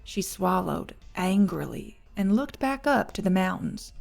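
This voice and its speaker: A woman's voice: fairly low pitch, very animated, measured pace, gravelly, darkish tone, faint music behind. A woman narrating an audiobook, reading scripted fiction.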